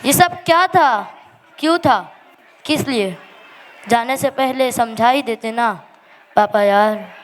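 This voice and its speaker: A woman's voice: on the high side, slow, pauses often, some chatter behind, slight background noise. A woman performing a dramatic poetic monologue with a plaintive, emphatic, emotional delivery. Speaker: monologue performer at a school annual day. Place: school annual day stage in a hall. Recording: echoing hall with long reverb.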